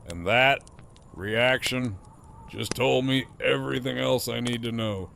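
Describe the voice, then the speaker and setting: Man acting a cocky, gravelly male prisoner. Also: medium pitch, a little slow, a bit bright, a little hiss or hum. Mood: smug, needling. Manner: drawling, smug, sardonic. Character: cocky, teasing, profane male prisoner with a grin. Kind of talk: audio drama acting.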